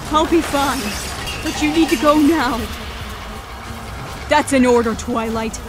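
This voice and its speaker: A woman's voice: high voice, slow, over music. A woman playing a composed, protective pony ruler, formal and commanding, in an audio drama. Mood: urgent, firm.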